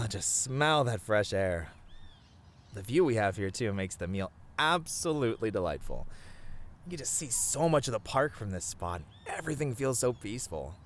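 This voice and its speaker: A man playing a sweet, expressive bashful boyfriend. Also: on the low side. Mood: content, peaceful. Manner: soft-hearted, halting, sighing, chuckling, gently earnest. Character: sweet, bashful young boyfriend, tender and adoring. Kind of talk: romantic ASMR roleplay monologue to the listener.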